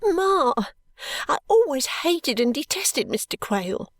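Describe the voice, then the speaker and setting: A woman's voice: a little slow, darkish tone, no echo, clean studio sound. A woman reader voicing a tearful young woman who sobs and pleads with her mother for consent to marry. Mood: sobbing. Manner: pleading, breathless, high and tearful. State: sobbing.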